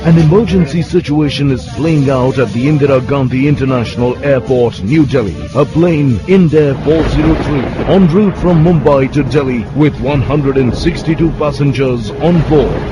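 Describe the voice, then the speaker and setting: Guy doing scripted documentary voiceover, mid pitch, loud music under it. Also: poor audio. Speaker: documentary narrator.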